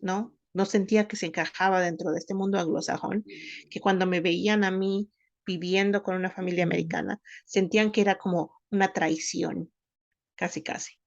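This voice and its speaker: A woman, co-hosting a podcast and speaking thoughtfully in halting phrases. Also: lowish voice, fairly even intonation, gravelly, dry recording, highs cut off. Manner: thoughtful, halting, many restarts. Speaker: podcast co-host. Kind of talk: casual conversational podcast.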